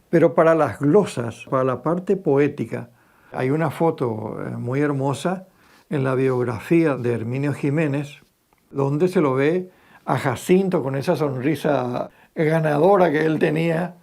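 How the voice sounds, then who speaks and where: A man's voice: a little high, vocal fry, a little mellow. A man recalls his research in a documentary interview, emphatically repeating phrases to build his points. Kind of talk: spontaneous interview recollection.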